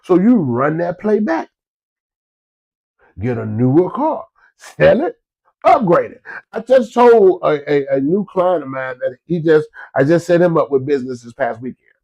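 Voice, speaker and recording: A man's voice: fairly high pitch, sing-song, a little slow, gravelly, a little mellow, low-quality recording. A man, stuffed up from a cold, giving folksy business advice to his listeners in a casual, repetitive way. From Florida. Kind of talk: casual motivational talk to listeners.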